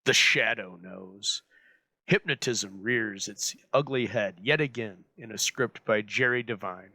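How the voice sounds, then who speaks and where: A man hosting with scripted, deliberate, slightly theatrical narration. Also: on the low side, bright, forceful tone, no echo. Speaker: host introducing old radio shows.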